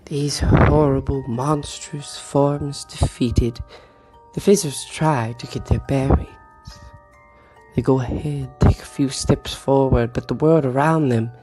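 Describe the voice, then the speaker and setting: A man's voice: medium pitch, fairly even intonation, darkish tone. A man narrating a horror adventure game dramatically, drawing out his phrases for suspense. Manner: slow, suspenseful, drawn-out phrases. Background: background music.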